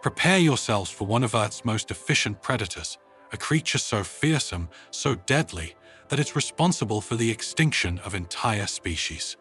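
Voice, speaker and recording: A man's voice: on the low side, expressive, normal speed, fairly bright tone, soft background music. A man voicing a theatrical, wry male presenter with mock-grand flourish in a narrated story. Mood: mock ominous.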